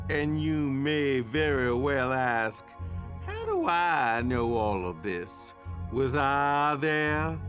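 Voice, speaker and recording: Slow, bitter male storyteller over music. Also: mid-range voice, a bit bright. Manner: measured, gossipy and bitter. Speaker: narrator. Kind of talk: radio drama narration.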